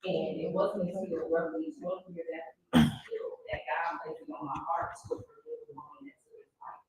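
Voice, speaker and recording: A woman's voice: mid-range voice, wildly swooping pitch, normal speed, darkish tone. Woman guest sharing her story live, really varied intonation, big echo, mic far away. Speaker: panel guest. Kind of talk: personal testimony at live event. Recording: echoey room, distant mic, background noise.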